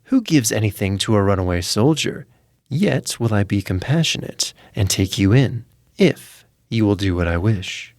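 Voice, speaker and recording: A man's voice: on the low side, animated, normal speed, dry recording, studio quality. A man voicing a sly, scheming old witch in a storybook, with a taunting, bargaining manner.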